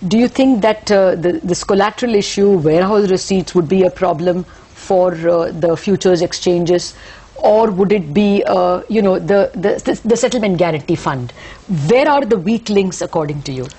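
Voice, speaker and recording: A woman's voice: on the low side, warm tone, slightly rough. A woman with an Indian accent, a news anchor in a TV studio on a lapel mic, firing probing questions. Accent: Indian English. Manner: probing, brisk follow-ups. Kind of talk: TV interview questions.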